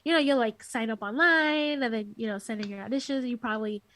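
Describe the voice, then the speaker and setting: A woman, interview guest and music teacher, with a soft, light, sweet speaking voice, chatting casually with lots of fillers over a call. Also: fairly high pitch, fast talker. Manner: rambling, filler-heavy, giggly. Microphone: headphones on a call.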